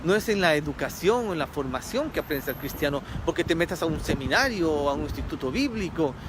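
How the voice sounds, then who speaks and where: Male preacher, from Ecuador, fairly high voice, earnest, car noise around. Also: animated, quick pace. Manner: earnest, repeats key phrases for emphasis. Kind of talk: sermon.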